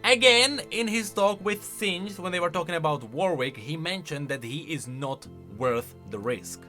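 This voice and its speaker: A man giving casual reaction commentary on video game lore, expressive and emphatic as he thinks aloud. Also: on the high side, moderate pace. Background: music.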